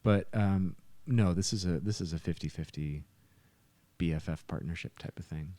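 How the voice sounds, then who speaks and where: Casting: male co-host, very low pitch, dry sound, informal joking banter. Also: moderate pace, warm tone, no echo. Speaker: musician and podcast co-host. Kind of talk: casual podcast banter and chat.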